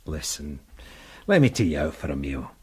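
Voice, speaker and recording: An actor playing a wistful middle-aged policeman in a radio drama, gently coaxing and hesitant with his estranged wife. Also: extremely low pitch, big pitch swings, normal speed, breathy edge, exaggerated voice. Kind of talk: naturalistic radio drama acting.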